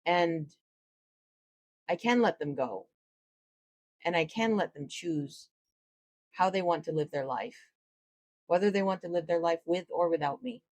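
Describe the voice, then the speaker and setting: Low husky-voiced woman giving spiritual talk. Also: unhurried, pauses often. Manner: reflective, gently explanatory, with fillers. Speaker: tarot reader and spiritual teacher. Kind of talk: casual spiritual explainer to camera.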